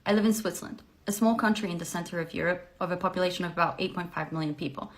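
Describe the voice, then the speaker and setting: A woman calmly explaining things to camera, with emphatic repetition. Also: lowish voice, very fast. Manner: clear, methodical, emphatic. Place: Switzerland.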